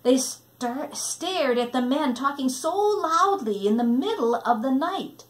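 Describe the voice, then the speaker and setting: A woman's voice: high voice, lively intonation, slow pace. A woman narrating a children's storybook with dramatic expression, shaping each phrase for tender or suspenseful effect. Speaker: storybook reader.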